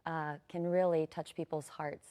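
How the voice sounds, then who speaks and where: A woman's voice: deep voice, flat delivery, a little slow, dark tone. A woman guest on a TV morning show, speaking earnestly with fillers about a ballet. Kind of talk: TV interview.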